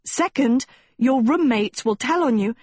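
A woman's voice: a little high, animated, unhurried, husky, no room sound, pitched above the performer's own voice. Woman acting blunt, grumpy teenage girl. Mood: stubborn. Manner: curt, snappish. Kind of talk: acted storybook dialogue.

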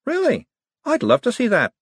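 A man voicing a sharp, dry-witted young woman who speaks crisply and sardonically. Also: very high-pitched, wildly swooping pitch, normal speed, dry recording, voice pushed up, heavily acted. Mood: wry.

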